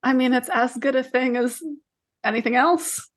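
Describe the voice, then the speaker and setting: Girl cohost on a podcast, kinda high voice, chatting casually, matter of fact, bit of dry teasing. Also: normal speed. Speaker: podcast co-host.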